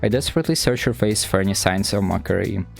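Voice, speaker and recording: Visual novel schoolgirl male reader: anxious, wordy, self-doubting inner narration, lowish pitch. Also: fast talker, creaky, soft background music. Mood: flustered, self-reproaching. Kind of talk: visual novel read-aloud.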